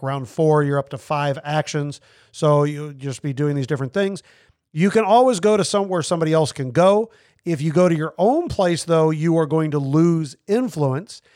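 A man's voice: fairly high pitch, darkish tone, no room sound, clean studio sound. A man hosting a casual board game review chat, explaining the game with lots of fillers and restarts. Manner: rambling, many fillers and restarts. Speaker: board game podcast host.

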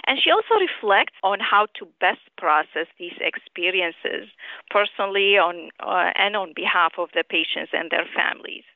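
A middle-aged woman from Syria speaks over a thin, narrow telephone line. She is a guest author answering interview questions in measured, articulate and rather formal sentences. Her pitch sits in the middle for a woman. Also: expressive, gravelly, no room sound. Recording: tinny narrow phone line.

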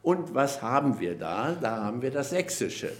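A man, a linguist, explaining at length in a podcast interview, measured and lecturing, with frequent hesitation fillers. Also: mid-range voice, big pitch swings, echoey room. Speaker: linguist guest.

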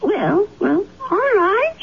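A woman's voice: extremely high pitch, sing-song, slow pace, gravelly, heavily acted. An actress in her seventies playing a kindly, modest old widowed landlady in a 1970s radio drama. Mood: homely, gently flustered. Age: 70s. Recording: noisy lo-fi 1970s radio broadcast.

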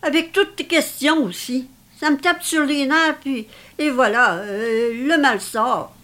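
A woman's voice: high voice, slow, a bit bright. An actress playing an elderly, possessive mother, theatrical and self-pitying, in a dramatic script reading. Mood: weary, irritated. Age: older. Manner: theatrical, reproachful, martyr-like.

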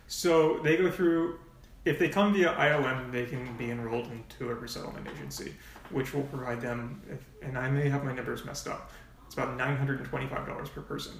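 Brief: quick-talking gravelly man, frank and explanatory, heard from a distance in an echoey room. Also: mid-range voice, fairly even intonation, a little hiss or hum. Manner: frank, explains in long runs with asides. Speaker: nonprofit volunteer coordinator, guest. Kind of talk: casual podcast interview.

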